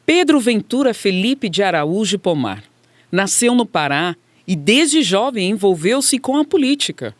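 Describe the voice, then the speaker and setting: A woman's voice: medium pitch, sing-song, a little slow, dry recording. A woman with a Brazilian accent reading scripted narration for a radio documentary.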